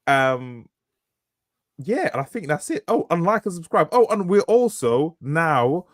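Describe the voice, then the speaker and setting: Laughing, lively-voiced male podcast co-host. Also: on the high side, unhurried, dry recording, clean studio sound. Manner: laughs often, rambling, swearing. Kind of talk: casual banter. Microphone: studio mic.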